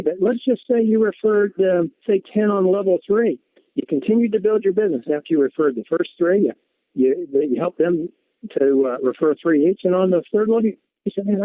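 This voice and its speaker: A man's voice: a little high, dry recording. Salesman on a tinny phone line. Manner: folksy, repetitive, encouraging. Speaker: presenter on a business opportunity conference call. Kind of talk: informal sales pitch. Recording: muffled phone conference line.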